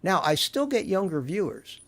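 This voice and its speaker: A man in his seventies, a guest in a casual interview, telling stories in an animated, chatty way with frequent restarts. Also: high voice, moderate pace, gravelly. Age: 70s.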